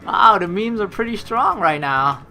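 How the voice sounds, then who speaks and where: A woman's voice: mid-range voice, lively intonation, measured pace, bright, forceful tone. A woman doing a playful let's play, reading game narration aloud and adding teasing, jokey asides. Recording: music behind the voice. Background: game music.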